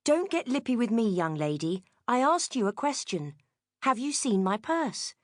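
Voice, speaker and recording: A woman's voice: fairly high pitch, sing-song, moderate pace, no room sound, studio quality, voice pushed up. A woman voicing a young mother, stern but loving, speaking to her child in an audiobook story. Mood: cross, scolding.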